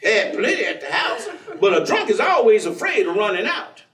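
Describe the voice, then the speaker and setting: An actor playing a folksy, devout former drinker in a staged reading, playful and persistent, telling stories in colloquial speech with theatrical exclamations. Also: male voice, high-pitched, very animated, moderate pace, crowd noise. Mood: storytelling. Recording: echoey stage, distant microphone.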